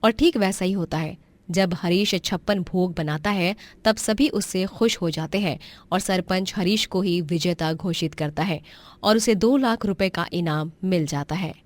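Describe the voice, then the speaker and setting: A woman's voice: on the low side, unhurried, dry recording. A woman giving scripted storytelling narration as the narrator of a tale.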